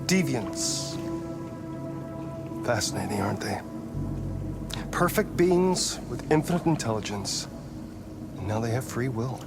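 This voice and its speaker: Smug, cerebral tech genius, lively intonation. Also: male voice, medium pitch. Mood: musing, superior. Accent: American. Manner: philosophical, measured, smug. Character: cool, cerebral, arrogant male tech genius and inventor. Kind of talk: cinematic video game acting. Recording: music under the voice.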